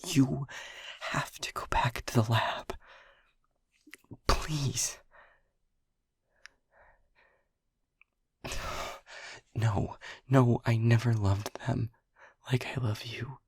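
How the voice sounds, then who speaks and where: A man voicing an anxious, devoted technician lover who whispers his pleas in a husky, creaky voice and stammers as he talks. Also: medium pitch, steady tone, slow pace, pauses often, no echo, full character voice. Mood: pleading. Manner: hesitant and stammering, pleading. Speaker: audio roleplay performer. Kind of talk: intimate whispered audio roleplay.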